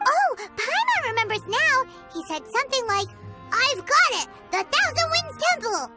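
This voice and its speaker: A woman voicing a tiny, excitable, childlike fairy companion in a video game, exclaiming as she talks. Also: very high-pitched, normal speed, over loud music. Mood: excited. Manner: exclaiming, refers to herself by name. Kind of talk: video game character acting.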